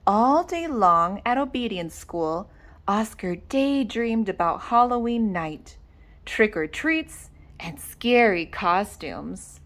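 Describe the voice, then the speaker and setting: A woman reading a children's story aloud with dramatic, deliberate expression. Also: medium pitch, lively intonation. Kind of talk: animated read-aloud narration.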